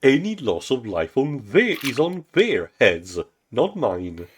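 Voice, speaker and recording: A man's voice: medium pitch, huge pitch swings, takes it slowly, breathy edge, full character voice. Man putting on a pompous tyrant president villain. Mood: smug, pompous. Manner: pompous, menacing. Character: tyrannical, self-righteous male galactic president villain.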